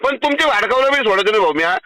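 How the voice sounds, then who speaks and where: A man's voice: high-pitched, expressive, rapid-fire, sharp tone, dry recording. A man with a Marathi accent from Maharashtra, blunt, foul-mouthed and insistent in a phone conversation. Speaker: caller making political complaints.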